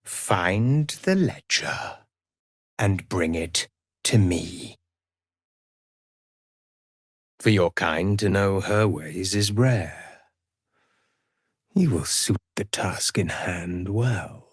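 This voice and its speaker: An actor playing a mysterious, brooding male avenger in a video game, speaking in grave, measured, formal phrases with a gravelly, hushed edge. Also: low-pitched, expressive, no room sound, clean studio sound, heavily acted. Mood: grim, commanding. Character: mysterious, brooding male avenger who hunts and torments murderers. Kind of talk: dramatic video game voice acting.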